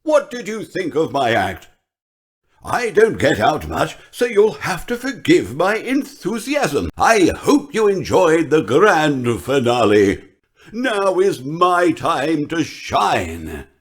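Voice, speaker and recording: A menacing video game animatronic with a showman's flair. The voice is husky and slow, swinging theatrically through its phrases as if hungry for applause. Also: fairly high pitch, wildly swooping pitch, exaggerated voice. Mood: eager, showy.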